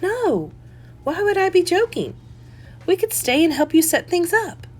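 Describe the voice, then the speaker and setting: A woman's voice: very high-pitched, sing-song, a little slow, pitched above the performer's own voice, exaggerated voice. A woman reading a story, voicing a critical older mother who nags in a plaintive, huffy manner.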